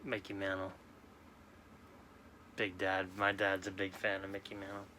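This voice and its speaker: A man in his late forties from New York, talking slowly and haltingly in a sad, subdued way as a sports card vlogger. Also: low-pitched, monotone, sharp tone, slight background noise. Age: 40s. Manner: halting, subdued, many restarts. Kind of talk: casual personal talk to camera.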